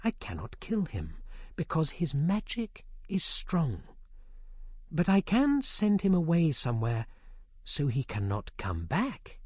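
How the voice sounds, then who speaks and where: A narrator voicing a calculating young sorceress who speaks quietly and deliberately. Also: huge pitch swings, no echo, studio quality, heavily acted. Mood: scheming. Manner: quiet, calculating, low-volume. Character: scheming young female sorceress, cold. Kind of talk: audiobook character voice.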